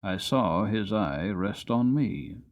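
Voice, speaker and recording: A man giving a dramatic, measured and expressive reading of a storybook as its narrator. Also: on the low side. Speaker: audiobook narrator.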